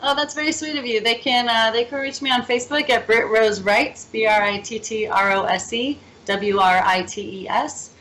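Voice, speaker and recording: A woman's voice: on the high side, normal speed, few pauses, a bit bright, a little hiss or hum, rough recording. A woman being interviewed as a guest, talking in an upbeat, talkative way and telling stories. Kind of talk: casual interview chat.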